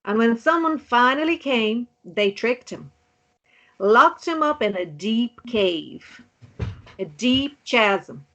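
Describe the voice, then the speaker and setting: A woman's voice: on the high side, animated, slow pace, pushed and bright, dull audio, full character voice. An actress playing an affectionate mother and campfire storyteller in a table read. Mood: storytelling.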